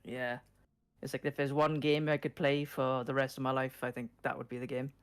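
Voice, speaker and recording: A soft-spoken man with a Welsh accent, shy and a little hesitant, chatting casually as a podcast guest. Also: mid-range voice, level tone, fairly quick, smooth. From Wales. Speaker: podcast guest, video game challenge runner. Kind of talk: casual interview chat.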